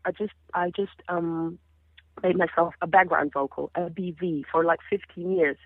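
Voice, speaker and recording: A woman's voice: on the low side, steady tone, normal speed, no echo. A woman in her thirties from the Caribbean whose first language is Papiamento, telling stories animatedly with many restarts, heard over a phone line. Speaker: singer, interview guest. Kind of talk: casual interview chat.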